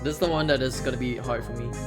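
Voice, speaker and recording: A speedrunner giving casual live commentary while playing, with excitable outbursts. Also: medium pitch, very fast. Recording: loud game music behind. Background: video game music.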